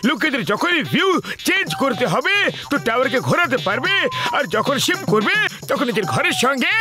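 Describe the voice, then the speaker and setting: A man voicing an excitable, chatty cartoon man in a dubbed comedy, exclaiming in a sing-song way. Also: very high-pitched, a little fast. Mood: explaining. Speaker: cartoon voice actor. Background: loud cartoon music.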